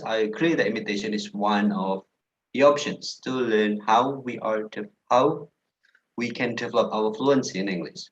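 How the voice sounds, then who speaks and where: A man's voice: fairly low pitch, fairly bright tone. A man moderates an online session and reads out viewer comments. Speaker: webinar moderator. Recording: dull online call audio.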